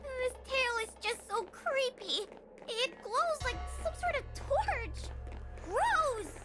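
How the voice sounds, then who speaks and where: A scornful little bully in a game cutscene. The voice is extremely high and animated, full of exaggerated sneering. Music plays clearly behind it. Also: female voice, slow, full character voice. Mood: disgusted, scornful. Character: snotty, scornful bullying child. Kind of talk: video game cutscene acting. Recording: music under the voice.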